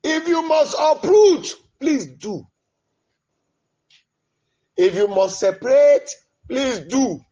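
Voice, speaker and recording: Husky man crying out in fervent prayer. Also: very animated, slow, pauses often, mellow, highs cut off. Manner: impassioned, pleading, alternating cries and low murmurs. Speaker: prayer leader.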